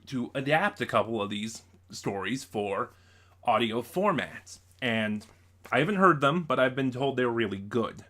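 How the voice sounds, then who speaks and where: A man's voice: medium pitch, sharp tone. A man talking casually as a vlogger in an unboxing video, rambling and over-explaining as he goes. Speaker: YouTube vlogger, collector and reviewer.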